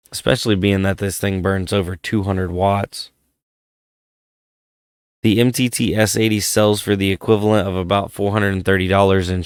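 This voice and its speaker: A man's voice: fairly low pitch, clean tone, no room sound, clean studio sound. A man hosting a casual hardware vlog, rambling and thinking aloud, his voice worn from a head cold. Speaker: tech channel host.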